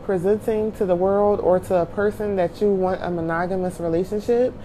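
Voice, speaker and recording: A woman's voice: mid-range voice, fairly even intonation, fairly quick, mellow, a little hiss or hum, rough recording. A woman in her thirties co-hosting a podcast, talking emphatically and repeating her lines for weight. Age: 30s. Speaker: podcast co-host. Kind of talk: casual chat.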